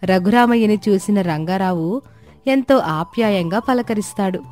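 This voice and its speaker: A woman's voice: mid-range voice, moderate pace, a little mellow, faint music behind. A woman reading a scripted moral tale as the storyteller.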